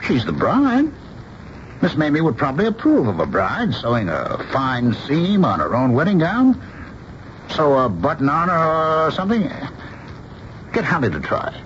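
Lively, reasonable husband. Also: male voice, fairly high pitch, unhurried, full character voice. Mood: coaxing. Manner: conversational, coaxing, reassuring. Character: reasonable, affectionate husband and painter, mildly exasperated. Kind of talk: radio drama acting. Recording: dull, noisy old radio broadcast.

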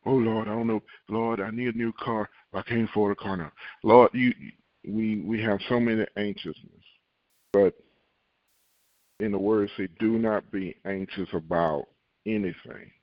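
Man, deep low voice, preacher, dull dry lo-fi sound. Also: no echo. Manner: preaches scripture, acts out worried believers in a raised voice; repeats key lines slowly for emphasis. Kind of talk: podcast sermon.

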